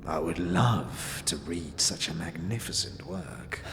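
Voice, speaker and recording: An actor playing a sly, withered old scavenger boss in a fantasy audio drama, wheedling and cagey, with archaic, inverted phrasing. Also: male voice, sits low, big pitch swings, slow, creaky, a bit bright, exaggerated voice. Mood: wry, evasive. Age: older. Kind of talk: audio drama character acting. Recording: long cavernous reverb.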